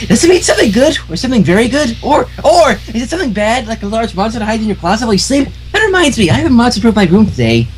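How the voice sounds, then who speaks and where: A man voicing a hyper, cheerful young female pony baker who rambles in a high squeaky voice. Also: very animated, very fast, hardly any pauses, faint music behind. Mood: giddy. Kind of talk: amateur reading of fan fiction.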